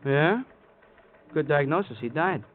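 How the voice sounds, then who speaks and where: An actor playing a breezy, sardonic police detective sidekick in a 1950s radio crime drama, casual, clipped and drily needling. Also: male voice, fairly high pitch, lively intonation, measured pace. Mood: breezy, dry. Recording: old radio, phone-like band, faint noise.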